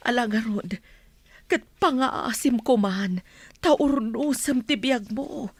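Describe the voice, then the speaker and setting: Female actor, humble worried woman, Ilocano accent, radio drama, husky higher voice, earnest, pleading. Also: slow pace, no echo, exaggerated voice.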